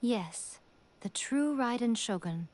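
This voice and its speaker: A woman voicing a self-assured, teasing fox shrine maiden in an anime game, knowing and gently condescending. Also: on the high side, animated, slow pace, slight background noise. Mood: composed, knowing. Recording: game music behind the voice.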